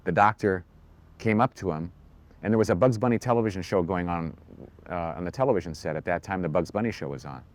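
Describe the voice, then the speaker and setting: A man's voice: sits low, fast talker. A man telling an anecdote as an eyewitness. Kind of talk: spoken anecdote.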